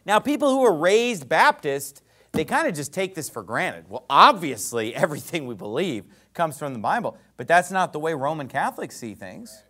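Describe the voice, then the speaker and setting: Animated preaching man, lively pitch. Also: normal speed. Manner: emphatic preaching with rhetorical questions. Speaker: pastor. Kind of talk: sermon.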